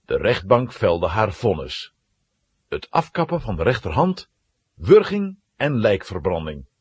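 A male narrator tells a children's story with dramatic delivery. His low voice is very husky and moves expressively, recorded dry and close. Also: wildly swooping pitch, a little slow, darkish tone, dry recording.

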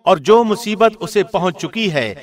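A man reading a scripture translation in a formal, measured radio presenter's style. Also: sits high, animated.

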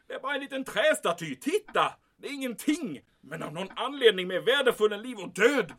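A man acting out an anxious commandant in a radio-style serial, blustering and guarded about his secret. His voice sits high and swings widely, with a bright, forceful edge. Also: very animated, heavily acted. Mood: grave, secretive. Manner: emphatic, peppering speech with German exclamations. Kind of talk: audio drama acting.

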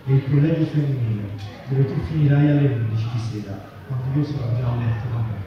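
Male poetry reader, muffled live recording with clear crowd sound. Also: medium pitch, fast. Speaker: reader at a public literary reading. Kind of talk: reading poetry aloud. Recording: dull, echoey, distant, crowd noise.

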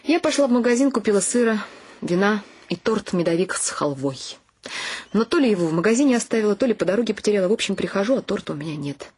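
A woman's voice: mid-range voice, animated, fast talker, a little mellow, husky. An actress playing a wry, dreamy middle-aged wife and mother, narrating her household life in a radio play. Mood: wry, wistful. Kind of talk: first-person radio drama narration. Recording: music behind the voice.

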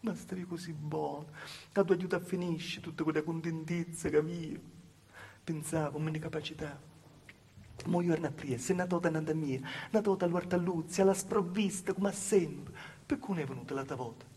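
A male stage actor with a Calabrian accent playing a simple, honest village woman in an intimate dialect monologue, confiding and halting, with repeated phrases. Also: fairly high pitch, fairly even intonation, mellow, breathy edge. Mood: melancholy. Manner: confiding, halting, repetitive storytelling. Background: live music.

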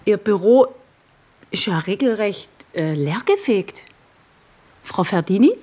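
A woman's voice: mid-range voice, lively intonation, slow, warm tone, slight background noise. A woman acting a direct, sceptical state prosecutor in a radio drama. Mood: businesslike. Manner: direct, needling.

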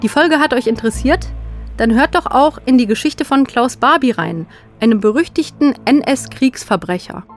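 Woman hosting a podcast, high voice, scripted outro, bit of music behind. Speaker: podcast host.

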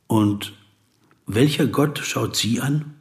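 A man playing a reserved, observant interviewer in a radio play, narrating and questioning in a measured, restrained way. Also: mid-range voice, background noise. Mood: probing, restrained. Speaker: interviewer and first-person narrator. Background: music.

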